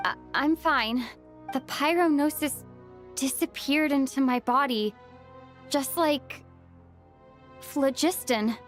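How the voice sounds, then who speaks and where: A young traveling heroine from a video game. Her voice is high and gentle, earnest in manner, with music underneath. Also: slow pace, pauses often. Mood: earnest, thoughtful.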